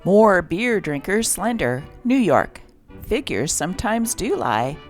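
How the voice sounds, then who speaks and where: A woman's voice: mid-range voice, big pitch swings, slow pace, faint music behind. A woman reading a script aloud as host and narrator, with a theatrical delivery.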